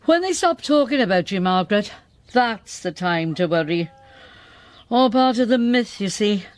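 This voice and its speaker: An actress playing an ageing Welsh singing diva, boozy, imperious and melodramatic, declaiming grandly in a radio play. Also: female voice, medium pitch, lively intonation, moderate pace, lightly acted. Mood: grand, self-satisfied. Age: older. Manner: grandiose, haughty, dramatic declaiming.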